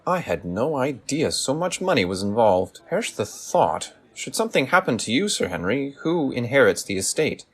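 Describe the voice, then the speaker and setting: A man playing a shrewd, commanding gentleman detective in a radio play, formal, deliberate and probing. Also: mid-range voice, sing-song, slightly rough.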